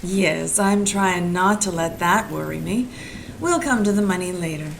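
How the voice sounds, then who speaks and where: A woman's voice: on the low side, a little slow, creaky, slight background noise. A woman playing a tough, wisecracking female private detective in a community radio play, blunt and hard-boiled. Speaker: actor in community audio drama.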